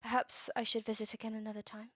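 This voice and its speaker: A woman playing a guarded vampire heir in a scripted ASMR roleplay, measured, formal and cool. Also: a little high, completely flat delivery, fairly bright tone, dry recording, clean studio sound. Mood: hesitant.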